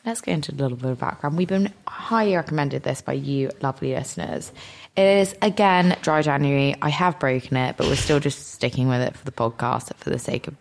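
A woman's voice: extremely low pitch, brisk, gravelly, few pauses, slight background noise. A young woman with a nasal voice, co-hosting a podcast in casual, self-deprecating, rambling chat. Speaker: podcast co-host.

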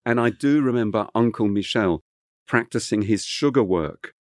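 A man's voice: fairly low pitch, moderate pace, dry recording, clean studio sound. A middle-aged man from Kent whose first language is French, telling long stories as an interview guest with many fillers and restarts. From England (Kent). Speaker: chef guest. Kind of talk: relaxed interview storytelling.

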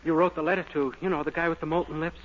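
A young man starring in a radio comedy, with boyish, goofy, excitable delivery. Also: on the high side, rapid-fire. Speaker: star of a radio sitcom. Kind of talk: 1940s radio comedy acting. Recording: dull old radio recording with hiss.

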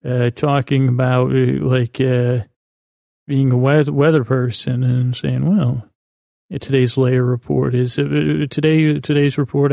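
Creaky-voiced, meandering man, mellow and dry. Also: mid-range voice, no room sound. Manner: meandering, halting, stumbling over words. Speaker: podcast host. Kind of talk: rambling bedtime-story sleep podcast monologue.

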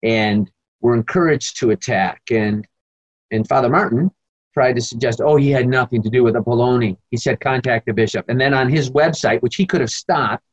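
A man's voice: medium pitch, dull audio. An American man, a priest being interviewed, talking emphatically and indignantly with rambling asides. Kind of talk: animated conversational interview answers.

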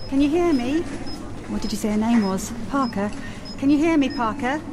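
An actress playing a brisk, urgent medic at an emergency in an audio drama. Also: female voice, on the high side, noisy background, close to an everyday voice. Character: urgent female medic attending an unresponsive patient.

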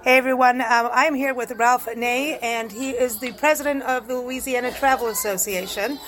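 A woman's voice: on the high side, fairly even intonation, normal speed, fairly bright tone, faint music behind. A woman hosting a casual on-location interview show in a chatty, effusive way. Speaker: radio show host. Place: on location at venues in town. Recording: noisy on-location recording with ambient sound.

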